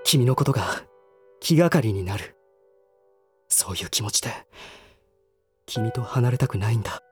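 Reserved, earnest young man male actor, voice drama. Mid-range voice, formal and measured, soft music bed. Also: medium pitch, expressive, lightly acted. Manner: measured, formal, articulate phrasing. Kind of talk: Japanese voice drama acting.

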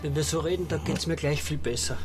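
A man's voice: fairly high pitch, fast, background noise, natural voice. An actor playing a timid, submissive man around forty, fretful and easily cowed, in a radio drama. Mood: fretful, whiny. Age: 40s. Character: anxious, submissive, blamed younger-middle-aged sibling or partner. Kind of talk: German-language radio drama.

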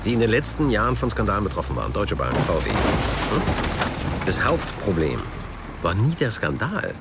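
An uneasy company PR man in a radio crime drama. His pitch moves in a lively way as he pleads and argues in earnest. Loud noise runs behind him. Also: moderate pace. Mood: earnest, urging. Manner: pleading, argumentative. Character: uneasy, earnest male company press spokesman.

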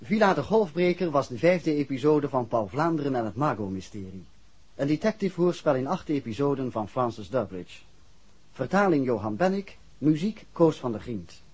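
Formal male announcer with lively intonation. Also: mid-range voice, husky. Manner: formal, measured list reading. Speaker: radio drama announcer. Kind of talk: scripted closing credits, 1960s radio. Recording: dull old 1960s radio recording.

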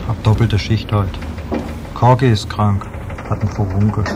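An actor playing a deferential, worn-out hotel lift attendant in a noir radio drama, with a servile, hesitant manner. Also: male voice, on the low side, level tone, moderate pace, natural voice. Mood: weary. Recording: loud vehicle-like ambient noise.

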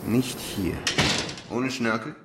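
An actor playing a wary, wry police lab technician with a dry, guarded manner in a German radio crime drama. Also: male voice, medium pitch, slow, background noise, lightly acted.

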